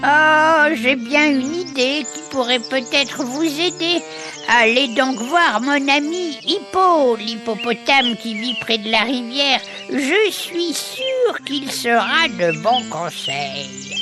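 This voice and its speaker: A woman voicing a kindly, weary old rat with drawn-out delivery in a children's audio story. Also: high voice, expressive, over music. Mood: kindly advising.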